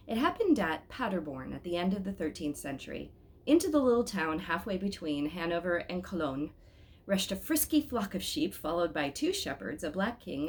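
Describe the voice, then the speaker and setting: Woman doing a storybook read-aloud, slightly low voice with lots of up and down. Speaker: storybook reader. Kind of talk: expressive read-aloud narration.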